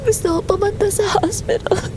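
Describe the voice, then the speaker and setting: Very high-pitched, anxious young daughter played by a woman. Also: steady tone, a little fast, background noise. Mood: distressed, guilty. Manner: anxious, plaintive. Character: fearful, guilt-ridden young daughter, fragile and clingy. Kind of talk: audio drama acting.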